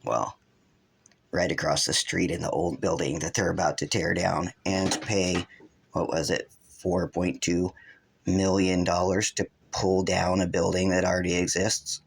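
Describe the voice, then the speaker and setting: A man's voice: sits low, flat delivery, measured pace, gravelly, slightly rough. A man hosting his own video channel, giving rambling, accusatory unscripted commentary. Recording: loud vehicle and background noise.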